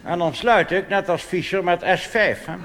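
A Dutch cabaret comedian performing a blustering, pompous reactionary army officer in a rambling stage monologue. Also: male voice, high voice, moderate pace, natural voice. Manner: pompous, rambling, tag questions. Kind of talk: stand-up cabaret monologue in character. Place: theater stage before a live audience. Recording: live stage recording with hall reverb and hiss.

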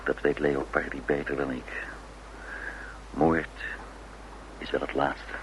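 A man's voice: extremely low pitch, little pitch movement, takes it slowly. An actor playing a hotel detective in a radio crime drama, a man speaking in dry, musing, clipped phrases. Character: hotel detective Steve, deep-voiced, dry, speculating man. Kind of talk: radio crime drama acting with inner monologue. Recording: noisy old radio drama recording.

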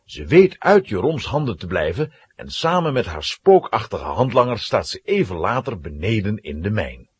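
A man narrating a children's radio play with dramatic, suspenseful, animated storytelling. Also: on the low side, normal speed, husky, no room sound.

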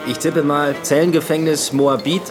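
An actor playing a terse, short-tempered detective inspector in a radio play. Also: male voice, fairly high pitch, steady tone, moderate pace, music in the background, close to an everyday voice. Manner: clipped, curt.

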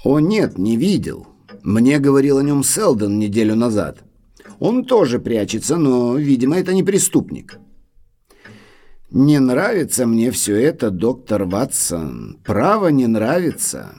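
An actor playing a deferential, uneasy manor butler in an audio drama, grave and full of foreboding. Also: male voice, mid-range voice, big pitch swings, measured pace, mellow. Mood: guarded, deferential.

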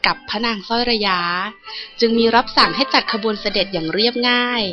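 A woman narrates a children's storybook from a script. Her voice is on the high side. The sound is slightly dull, and music plays clearly underneath. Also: a little fast. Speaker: audiobook storyteller. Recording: dull lo-fi audio with music behind.

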